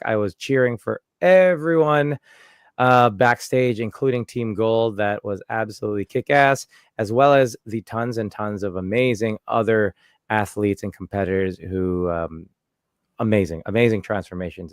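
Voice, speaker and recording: A man's voice: fairly low pitch, no room sound, clean studio sound. A man hosting a casual podcast chat, upbeat and joking with his co-hosts. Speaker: podcast host.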